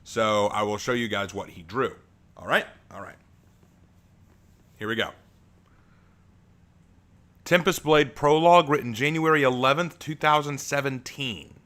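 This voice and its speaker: A man with a deep voice, an author chatting with his stream audience and then reading his own fantasy prologue aloud, relaxed, with fillers and restarts. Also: unhurried, long pauses.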